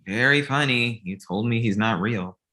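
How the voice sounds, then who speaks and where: A man's voice: mid-range voice, moderate pace, sharp tone, close to an everyday voice. A man playing a cocky, wry grown son who lives with his parents, in a comedy table read. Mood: petulant. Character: cocky, needy grown son, amateur film buff, living with parents.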